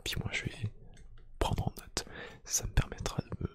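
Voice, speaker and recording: A man doing a soft-spoken ASMR roleplay, gently whispering one-to-one to the listener in a hushed, breathy voice. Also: normal speed. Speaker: ASMR YouTube creator. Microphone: close microphone.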